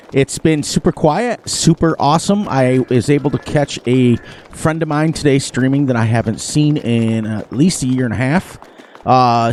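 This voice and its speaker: A man's voice: mid-range voice, a little mellow, a little hiss or hum. A man hosting a farming game playthrough in casual chat, laughing often, with a sniffly, runny nose. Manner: chatty, laughs often, playful. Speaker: gaming channel host. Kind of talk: casual gameplay commentary.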